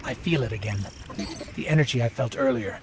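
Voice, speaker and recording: A man's voice: medium pitch, brisk, noisy background, natural voice. A man voicing a small, earnest robot companion in a video game, alert and clipped as he guides a hero. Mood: curious, wary. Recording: loud game score behind voice.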